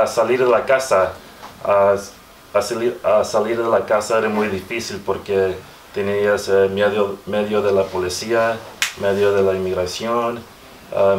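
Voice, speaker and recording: A man in his thirties with an American accent, a native English speaker, giving an informal panel talk and haltingly searching for words. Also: lowish voice. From Southern California, United States. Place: small room with hard walls, indoors. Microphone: far camera microphone. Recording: distant mic, echoey room, faint noise.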